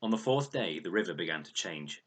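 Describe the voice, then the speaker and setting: Deep, husky-voiced male storyteller. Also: expressive, fairly quick, fairly bright tone. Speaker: storyteller reading aloud. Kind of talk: expressive children's audiobook narration.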